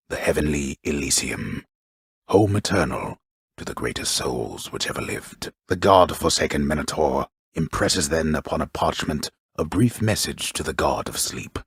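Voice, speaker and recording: A male actor playing a grave, pompous storyteller in a video game, narrating a mythic epic in an ornate, deliberate, dryly wry manner with a gravelly voice. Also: very deep voice, a bit bright, no echo, studio quality, full character voice. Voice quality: gravelly, husky. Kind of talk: theatrical scripted narration.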